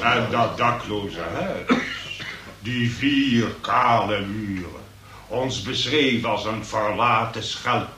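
A man's voice: lowish voice, slow, crowd noise. A man acting a pompous, long-winded examining magistrate in a comic radio play, speaking in ornate legal phrases. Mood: lecturing. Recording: noisy, echoey room, distant from mic.

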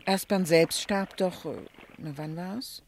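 A woman's voice: on the low side, slow pace, darkish tone. An actress playing a worldly, ironic middle-aged society lady in a 1960s German radio drama, teasing and questioning expressively. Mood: sceptical, curious. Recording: faintly noisy 1960 radio recording.

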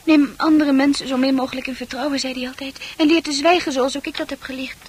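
An actress playing a dreamy, sensitive, troubled young woman in a Dutch radio play, confiding in earnest, halting, poetic speech. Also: high voice, steady tone, close to an everyday voice. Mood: pensive, intense.

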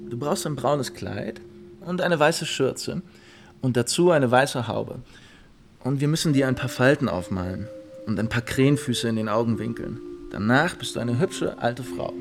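An actor playing an eager, bossy young gentleman in a radio drama, breezy and insistent as he cajoles. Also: medium pitch, normal speed, creaky, music in the background, natural voice. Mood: cajoling, insistent.

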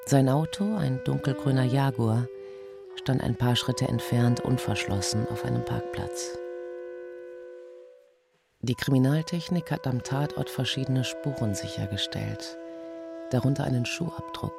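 An actor playing a sober police detective, narrating a crime drama in a matter-of-fact way. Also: male voice, medium pitch, a little slow, vocal fry, loud music behind the voice. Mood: sober, factual. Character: male police detective soberly recounting a murder case. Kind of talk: scripted first-person crime drama narration.

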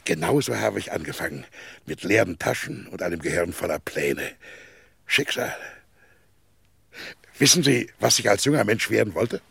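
An actor in a radio play, playing an imperious, self-important company president, gravelly and husky, with a pompous, commanding manner. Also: male voice, fairly low pitch, animated, normal speed, pauses often, a bit bright, no room sound, heavily acted. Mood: amused, nostalgic. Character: imperious, self-important tycoon, hectoring his staff, absent-minded. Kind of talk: German radio play acting.

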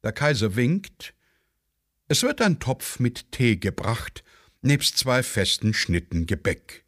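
A man narrating a radio play, reading stage directions in a measured, deliberate way. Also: medium pitch, sing-song, a bit bright. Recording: dry studio sound with faint music.

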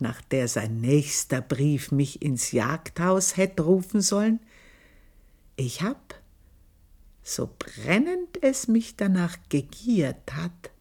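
An Austrian actress playing a proud, jealous village-born maidservant, telling her story in a confiding, earthy radio monologue with drawn-out emphasis. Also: sits low, warm tone, slightly rough, heavily acted. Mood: yearning, confiding. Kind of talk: dramatic first-person radio monologue, literary storytelling.

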